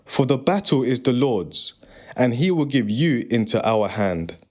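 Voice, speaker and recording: A man's voice: mid-range voice, animated, unhurried. A man playing a confident, faithful young shepherd in a dramatized scripture reading, speaking in an earnest, declarative way. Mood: earnest, resolute. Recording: dull, muffled lo-fi recording.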